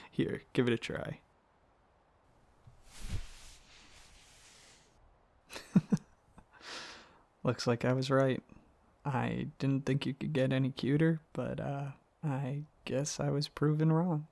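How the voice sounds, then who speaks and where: A man playing a gravelly, affectionate dragon boyfriend. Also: medium pitch, flat delivery, a little mellow. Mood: playful, affectionate. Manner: casual, halting, affectionate, chuckling speech. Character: affectionate, excitable dragon boyfriend with fluff and horns. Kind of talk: ASMR roleplay, softly addressing the listener as partner.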